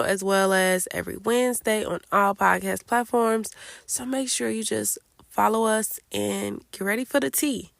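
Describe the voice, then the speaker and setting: A woman's voice: mid-range voice, creaky, fairly bright tone, no room sound. A woman hosting a casual solo podcast, sounding congested from a cold and chatting candidly with lots of fillers. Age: late 20s. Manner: chatty and candid, many fillers like "like" and "I don't know". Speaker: podcast host.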